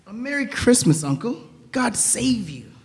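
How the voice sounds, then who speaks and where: A man playing a cheerful, warm-hearted nephew in a theatrical stage reading, earnest, declamatory and pleading. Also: extremely high pitch, sing-song, unhurried, vocal fry, slight background noise, exaggerated voice. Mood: jovial. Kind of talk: theatrical dramatic reading of Victorian story. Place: large church interior. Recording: echoey hall with long reverb.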